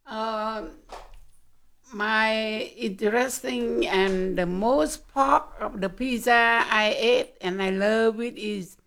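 A woman's voice: a little high, slow pace, gravelly, fairly bright tone. A woman from Vietnam with a Vietnamese accent, a guest giving short, hesitant answers in simple English. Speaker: guest storyteller. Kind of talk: casual interview.

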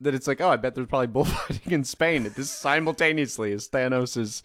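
A man's voice: mid-range voice, fast, a bit bright, no room sound. A male radio DJ hosting improvised comedy banter, playful and mock-earnest, often laughing. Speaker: radio show host, DJ. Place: studio.

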